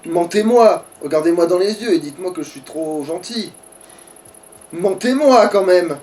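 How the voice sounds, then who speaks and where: Man, high voice, very lively intonation, crude joking gaming commentary, casual chat. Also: normal speed, recorded from a distance. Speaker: let's player.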